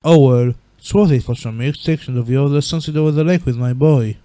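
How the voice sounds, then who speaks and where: A man voicing a big, gentle goat-monster father in a comic dub, giving slow, reassuring, weary but hopeful fatherly advice. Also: mid-range voice, dry recording, voice pushed down, natural voice. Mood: cheerful.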